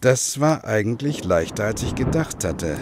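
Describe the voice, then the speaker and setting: An actor playing a grave former detective who recounts his past in a measured, dramatic way in a German radio play. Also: on the low side, expressive, noisy background, natural voice. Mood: reflective.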